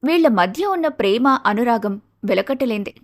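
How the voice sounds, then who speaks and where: A woman's voice: on the high side, expressive, normal speed, a little hiss or hum. A woman reading scripted narration as the narrator of a moral story.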